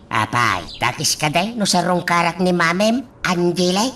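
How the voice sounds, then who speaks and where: A gentle, God-fearing old father, as an actress voices him with sighing exclamations and soft reassurances in a radio drama. The voice sits very low with lively swings in pitch. It sounds a little far from the mic. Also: a little slow, a little hiss or hum. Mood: reassuring. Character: gentle aging father, kind and devout.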